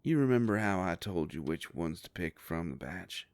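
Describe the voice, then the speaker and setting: A man playing a kindly, protective werewolf private investigator in an intimate audio drama, with a gravelly voice and an easygoing, folksy way of talking. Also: low-pitched, animated, moderate pace, darkish tone, no room sound. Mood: reassuring, businesslike.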